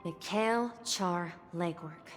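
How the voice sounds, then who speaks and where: A woman voicing a gentle, wistful boy born of a dream in a scripted game story. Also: fairly low pitch, slow. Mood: gentle, solemn. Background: game music.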